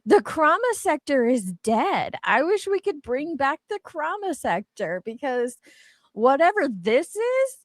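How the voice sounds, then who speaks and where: Lady cohost on a stream, blunt n jokey, really lively pitch, dry audio. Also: measured pace, no echo. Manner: blunt, joking, sweary. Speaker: livestream co-host. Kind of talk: casual livestream chat.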